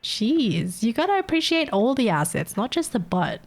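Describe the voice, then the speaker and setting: A woman's voice: fairly high pitch, very animated, normal speed. A young woman with a Filipino accent chatting casually on a live stream, teasing her chat and laughing often. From Philippines.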